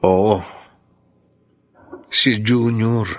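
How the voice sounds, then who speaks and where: Calm, thoughtful young husband. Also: male voice, lowish voice, drawn-out words, no room sound. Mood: thoughtful, caring. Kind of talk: radio drama acting.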